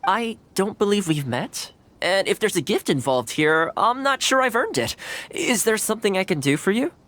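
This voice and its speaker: An actor voicing a courteous, easygoing young male retainer in game dialogue, polite and gently humorous. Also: high voice, sing-song, normal speed, exaggerated voice. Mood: friendly.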